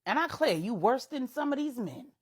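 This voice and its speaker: A woman's voice: a little high, sing-song, a little fast, breathy edge, pitched above the performer's own voice. A woman voicing a blunt, scolding, motherly older friend with a folksy, plain-spoken manner in a told story. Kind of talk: audio storytelling dialogue.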